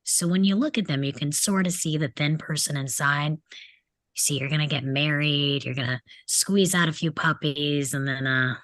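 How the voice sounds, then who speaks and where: A woman's voice: low voice, normal speed, fairly bright tone, no room sound. A woman reading the part of a mocking, crude teenage delinquent boy at a table read of a film script. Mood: needling. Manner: mocking, needling, insolent.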